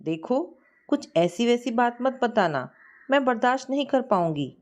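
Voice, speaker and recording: A woman's voice: on the high side, sing-song, a little slow. A woman voicing an anxious, pleading young woman in love in an audio storybook.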